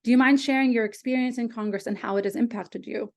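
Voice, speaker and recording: A woman's voice: fairly high pitch, fairly even intonation, fast talker. A woman moderating a webinar, reading polished speaker introductions and questions from a script over a video call.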